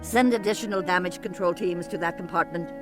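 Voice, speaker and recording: A man playing a grim, distrustful Romulan officer in a science fiction audio drama, with a deliberate, accusing manner. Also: high-pitched, over loud music, lightly acted. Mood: barking orders.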